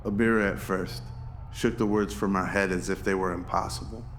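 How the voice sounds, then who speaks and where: A man's voice: low-pitched, steady tone, moderate pace, a bit bright, slight background noise. A man reading scripted narration for a radio drama.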